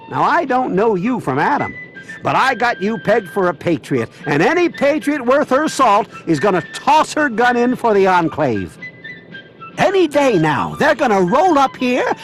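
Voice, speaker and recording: A man's voice: very high-pitched, fairly bright tone, heavily acted, rough recording. A man voicing a zealous old small-town patriot in a video game, folksy, rambling and fervent.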